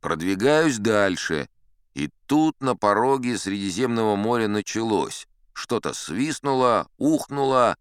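Deep-voiced old captain spinning yarns. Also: male voice, expressive, a little slow, clear, resonant tone, no echo, clean studio sound. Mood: wry, confiding. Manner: conversational, sly, leisurely, addressing a young listener. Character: old sea captain spinning tall tales, folksy, self-assured. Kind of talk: dramatized audiobook storytelling.

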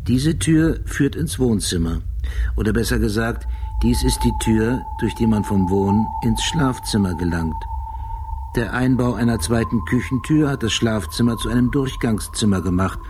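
A man's voice: on the low side, animated, normal speed, lightly acted. An actor playing a brooding, obsessive male police detective in a radio drama, weary and haunted, speaking in terse, meticulous phrases. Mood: detached, meticulous. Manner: terse fragments, meticulous describing. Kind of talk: German radio drama monologue and dialogue. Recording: clearly noisy background.

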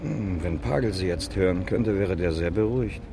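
An actor playing a questioning, quietly doubtful junior detective in a 1970s German radio crime drama. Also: male voice, sits low, normal speed. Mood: wry, probing. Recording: clear car noise behind.

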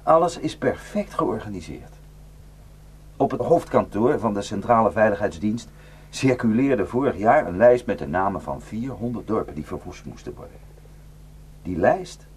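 An actor playing a haunted, guilt-ridden male defector in a Dutch radio drama, speaking in a halting, intense and bitter way. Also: lowish voice, slightly rough, heavily acted. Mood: urgent, grim. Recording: noisy radio play recording with hum.

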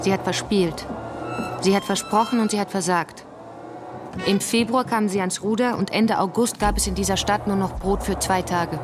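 A woman's voice: mid-range voice, fairly even intonation, moderate pace, lightly acted. Insistent revolutionary woman played by an actress, music behind. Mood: dismissive. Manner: declamatory, insistent, rhetorical. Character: militant revolutionary woman, sharp and accusing, 1917 Russia. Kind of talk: German radio drama acting.

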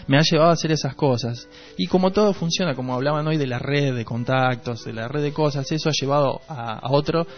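A man with a Rioplatense Argentine accent talks in a dull, lo-fi radio recording with faint music underneath. His voice sits at a middling pitch. He reflects earnestly, often restating a thought for emphasis. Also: fast, few pauses. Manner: earnest, rephrases and repeats for emphasis. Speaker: musician and producer, radio guest. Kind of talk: reflective conversational interview.

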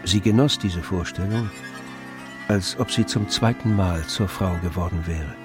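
Low-voiced male radio play narrator. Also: music in the background. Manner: measured, scripted storytelling.

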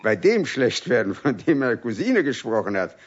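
A man's voice: medium pitch, very animated, normal speed, breathy edge. A man playing a kindly, slightly bumbling craftsman in a children's radio play, good-natured and reassuring with a faltering delivery. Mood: patient, amused. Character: kindly, placating, bumbling craftsman uncle figure. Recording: dull, lo-fi old radio recording.

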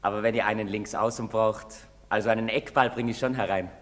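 An actor playing an earnest, hopeful young apprentice in a theatrical radio drama, speaking eagerly and plainly. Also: male voice, lowish voice, fairly even intonation, a little fast, fairly bright tone, natural voice. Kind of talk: German-language theatre acting in a radio broadcast. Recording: reverberant theatre-stage recording.

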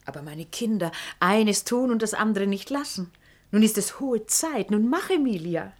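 A woman's voice: mid-range voice, expressive, normal speed, natural voice. An actress playing a watchful, commanding middle-aged noblewoman and mother, formal and insistent, in a classical German radio play. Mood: brisk. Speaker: actor.

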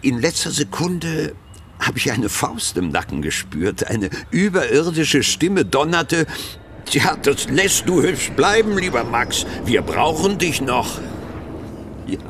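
An actor playing a zealous, self-satisfied pastor in a radio drama, confiding his account in a halting, theatrically pious way, with some background noise. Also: a little high, very animated, fairly bright tone, heavily acted. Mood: fervent. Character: zealous, self-satisfied male pastor recounting divine calling.

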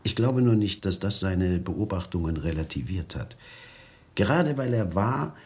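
Deep, very animated, philosophical man. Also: measured pace. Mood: considered. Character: articulate, philosophical man explaining a departed acquaintance. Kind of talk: radio drama played as an interview.

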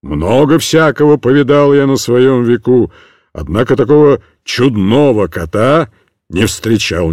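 A man's voice: mid-range voice, darkish tone, no echo, studio quality. A man voicing a stern, commanding police sergeant in a storybook reading. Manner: gruff, commanding.

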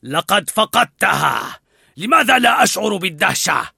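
A storyteller voicing a gruff, commanding male guard dog who barks orders harshly in a storybook reading. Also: medium pitch, very animated, moderate pace, pushed and bright, no room sound, studio quality, voice pushed up, exaggerated voice.

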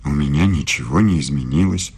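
An actor in a radio play voicing a self-assured, evasive German philosophy professor who speaks in a measured, pontificating way. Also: male voice, a bass voice, darkish tone, breathy edge, heavily acted. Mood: cool, philosophical.

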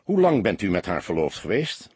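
A man voicing a young male detective in an audiobook, an awkward but insistent questioner who speaks haltingly. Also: low-pitched, sing-song, darkish tone, breathy edge, no echo, heavily acted. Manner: halting, pressing, slightly apologetic.

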